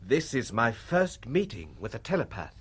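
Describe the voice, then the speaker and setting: A man's voice: medium pitch, very animated, a bit bright, slightly rough, heavily acted. An actor playing an eager, wonder-struck young spaceman who narrates his voyage in lyrical 1960s radio drama style, on a hissy vintage recording. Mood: amazed, amused. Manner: lyrical, theatrical, deliberate phrasing.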